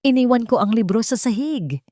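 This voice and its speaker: A woman voicing a gentle, melancholy wealthy widower, speaking softly in an audio romance. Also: fairly high pitch, lively intonation, moderate pace, no echo, clean studio sound, pitched above the performer's own voice. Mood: tender, concerned.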